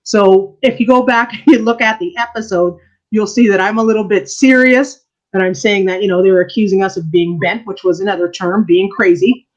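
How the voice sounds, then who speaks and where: A woman's voice: medium pitch, a little fast. A woman historian answers interview questions in an earnest, explaining way, breaking into easy laughter. Her voice sits in the middle range. The recording sounds dull and lo-fi. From Canada. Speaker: historian and church archivist, interview guest.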